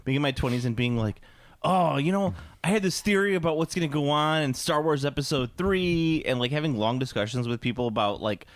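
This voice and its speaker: A man's voice: a little high, a little fast, no echo. A man in his thirties co-hosting a podcast in casual, sarcastic, comedic chat with some swearing. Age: 30s. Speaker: podcast co-host.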